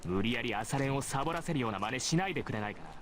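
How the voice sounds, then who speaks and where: A man voicing an arrogant high-school bully in an anime-style visual novel, with a condescending manner. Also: expressive, rapid-fire, fairly bright tone, slight background noise, natural voice. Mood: mocking. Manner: condescending, haughty. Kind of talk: Japanese visual novel voice acting.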